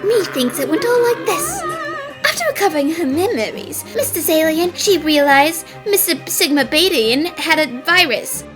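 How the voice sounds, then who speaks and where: A woman voicing a confident, theatrical young girl detective in an animated fan dub, with dramatic declamatory delivery. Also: very high-pitched, normal speed, over music. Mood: explaining. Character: bright, theatrical girl detective solving a space mystery.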